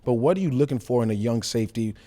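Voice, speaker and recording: A man's voice: medium pitch, animated, fast, mellow. An American man co-hosting a sports show, asking casual interview questions.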